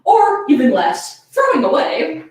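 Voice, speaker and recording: A woman's voice: high-pitched, wildly swooping pitch, measured pace, a little hiss or hum. A woman giving a comedic dramatic reading of fan fiction, giggly and laughing through her words. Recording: echoey room, distant microphone.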